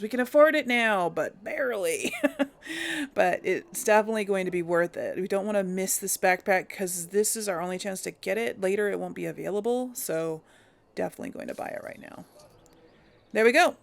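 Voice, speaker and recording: A woman with a creaky voice giving casual, easygoing game commentary, musing to herself as she plays. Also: mid-range voice, animated, moderate pace. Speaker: let's play host. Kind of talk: casual game commentary with light role play. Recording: faint background game ambience.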